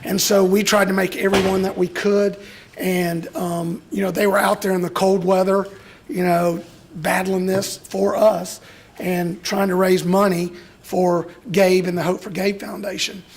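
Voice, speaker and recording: A middle-aged man from Alabama speaks with a hoarse, gravelly, raspy voice. He gives a heartfelt, emotional speech to an audience, pitched high for a man. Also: flat delivery, measured pace. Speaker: speaker at a youth graduation, father of a boy with Duchenne.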